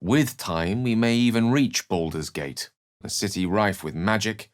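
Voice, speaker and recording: A man's voice: fairly low pitch, unhurried, no room sound, clean studio sound, close to an everyday voice. An actor playing a learned, wry male human wizard in a fantasy video game, speaking in an articulate, theatrical and bookish way. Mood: musing, wry. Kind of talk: fantasy game character lines and narration.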